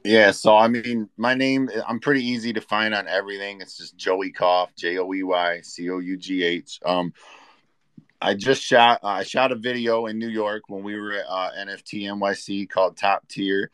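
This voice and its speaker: Male rapper being interviewed. Fairly deep voice, informal and slangy, conversational. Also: flat delivery, normal speed, fairly bright tone, clear, resonant tone, dry recording. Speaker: rapper guest. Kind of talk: casual interview chat. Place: live online audio room, voice only.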